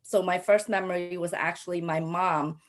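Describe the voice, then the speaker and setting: A woman in her forties from Cambodia, telling her personal story as a panelist in a halting way, often pausing and repeating phrases. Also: on the low side, steady tone. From Cambodia, raised in a Thai refugee camp and the US. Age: 40s. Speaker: panelist, local elected official.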